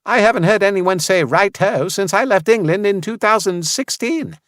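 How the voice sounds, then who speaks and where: A man's voice: sits high, moderate pace, a bit bright, dry recording, clean studio sound, voice pushed up. A man voicing a friendly, chatty male soldier in an audiobook story. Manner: cheerful, chatty.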